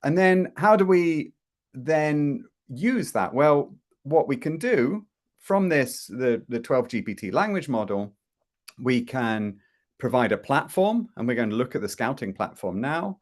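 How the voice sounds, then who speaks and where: A man's voice: mid-range voice, sing-song, a little slow. A middle-aged man hosting a live product demo from home over a video call, talking casually with many fillers and restarts. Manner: chatty, with frequent fillers and restarts. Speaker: host presenting a product demo. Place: home room.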